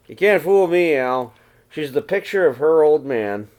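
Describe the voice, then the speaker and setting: Man reading as a chummy cafe man, animated pitch. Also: measured pace. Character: friendly joshing cafe man in a picture book.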